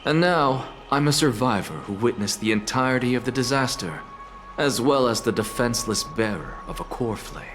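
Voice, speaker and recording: A man playing a proud, sardonic professor in a video game, speaking in a measured, pompous way. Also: mid-range voice, lively intonation, over music, natural voice. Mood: haughty. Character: proud male scholar professor, aloof, sardonic, defiant. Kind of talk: dramatic video game acting.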